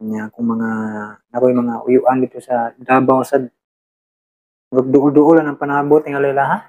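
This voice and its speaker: A man with a medium-pitched voice hosts in a casual, informal way. He keeps a fairly flat tone as he chats, often adding little tag questions. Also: normal speed, phone-quality sound. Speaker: channel host. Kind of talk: casual chat reading listener comments.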